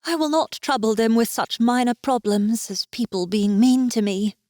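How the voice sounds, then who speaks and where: Woman playing a high-voiced, vulnerable young elf girl. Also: normal speed, a little mellow, dry recording, clean studio sound, natural voice. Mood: sad, resigned. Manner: earnest, vulnerable, emotional delivery. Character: sweet, bullied young elf girl, tearful and gentle.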